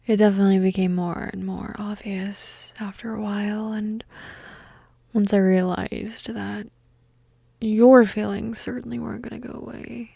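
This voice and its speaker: A young goth woman played by an audio roleplay actress murmurs with shy affection and gentle teasing. Her delivery is slow and hesitant, soft and breathy, often dropping to a whisper. The sound is close and dry. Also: mid-range voice, fairly even intonation, no room sound. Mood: tentative, tender.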